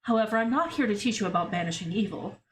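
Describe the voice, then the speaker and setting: A woman's voice: medium pitch, lively intonation, fast, a crowd in the background, close to an everyday voice. A woman voicing a proud, formal female history teacher at a school for magical girls, lecturing her class. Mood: earnest lecturing. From Brazil, as the figure.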